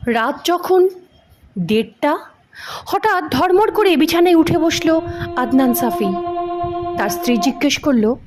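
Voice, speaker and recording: High-voiced woman narrator over music. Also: expressive, measured pace, long pauses, darkish tone. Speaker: audio story narrator. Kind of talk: dramatic scripted narration of a ghost story. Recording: music under the voice. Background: background music.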